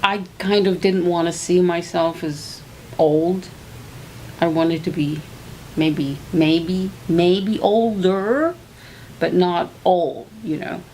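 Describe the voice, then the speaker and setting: A woman's voice: lowish voice, slow pace, a little mellow, slight background noise, rough recording. An older woman speaking in a hesitant, reflective way.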